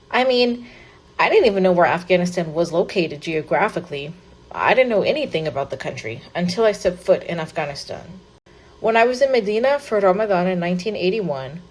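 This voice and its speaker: A woman's voice: medium pitch, natural voice, low-quality recording. A woman narrating in first person as a young man recounting his life story, earnest and read from a script.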